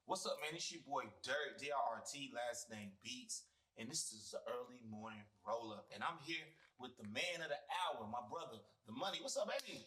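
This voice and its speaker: Upbeat bright-voiced male podcast host. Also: medium pitch, lively intonation, normal speed, far from the mic. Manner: upbeat, slangy, hypes the guest. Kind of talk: casual interview chat.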